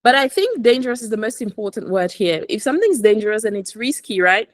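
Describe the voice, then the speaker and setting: Woman english tutor, corrects you and says words really clearly to repeat, pretty dry sound. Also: fairly high pitch, very animated, fast talker, no echo. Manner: instructive, correcting, enunciates words for repetition. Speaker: English teacher coaching aviation English. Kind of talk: one-to-one language lesson.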